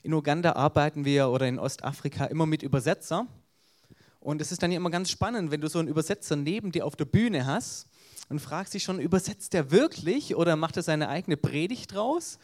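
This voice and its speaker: A man's voice: on the high side, lively intonation, fairly quick, darkish tone. A man with a Swabian accent, an evangelist giving an earnest evangelistic sermon with storytelling.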